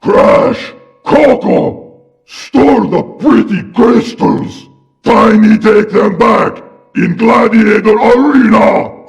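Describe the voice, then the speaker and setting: A man's voice: high-pitched, takes it slowly, exaggerated voice. A man voicing a huge, dim-witted beast henchman in a cartoon video game, growling and bellowing in broken third-person grammar. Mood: menacing, bellowing. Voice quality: gravelly growl. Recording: distant game audio over music.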